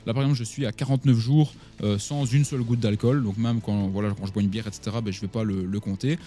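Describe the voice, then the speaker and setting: A man's voice: lowish voice, steady tone, fast talker, dark tone. A man in his twenties with a Belgian French accent, talking casually and directly to his viewers about his own life. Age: 20s. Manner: chatty, addresses the listener directly, many fillers. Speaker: online entrepreneur and vlogger. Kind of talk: casual talk to camera.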